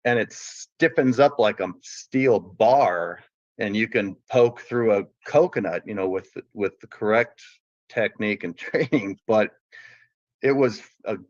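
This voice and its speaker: A man's voice: lowish voice, measured pace, no room sound, lo-fi sound. A man on a call, explaining at length in a rambling way that trails off and restarts. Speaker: caller. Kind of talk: casual call-in chat.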